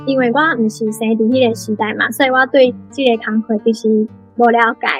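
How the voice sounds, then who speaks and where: A woman with a Taiwanese accent giving prepared, measured commentary on a book in an explanatory way. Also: a little high, flat delivery, soft background music, rough recording. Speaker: book club member, guest reader.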